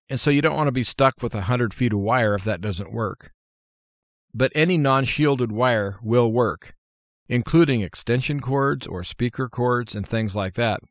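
A man's voice: mid-range voice, fairly bright tone, dry recording. An American man hosting a show, giving informal how-to advice in a plainspoken, folksy, explanatory way. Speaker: radio show host.

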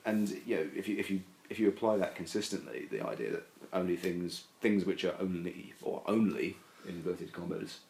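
A man's voice: low-pitched, fairly quick, vocal fry, hardly any pauses, a little mellow, echoey room, slight background noise, poor audio. A man talking casually as a podcast guest, hedging and rambling with many fillers and restarts. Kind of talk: casual discussion.

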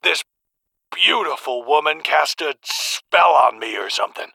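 An actor playing a rattled, crude bar patron calling a radio show, slangy, halting and emphatic. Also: male voice, fairly high pitch, lively intonation, gravelly, sharp tone, no room sound, full character voice. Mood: agitated, baffled. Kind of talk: audio drama acting.

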